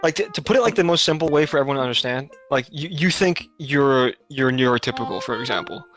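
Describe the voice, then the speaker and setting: A man's voice: medium pitch, animated, fast, fairly bright tone, faint music behind. A man hosting a casual podcast over a voice call, rambling with many fillers and restarts. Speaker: podcast host.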